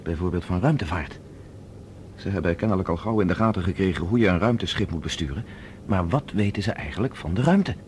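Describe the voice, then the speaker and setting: An actor a radio play playing a resolute, practical professor on the run in space. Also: male voice, deep voice, lively intonation, brisk, a little mellow. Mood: quiet reasoning. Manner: urgent, practical, drily defiant. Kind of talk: Dutch radio drama acting. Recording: noisy old radio drama recording.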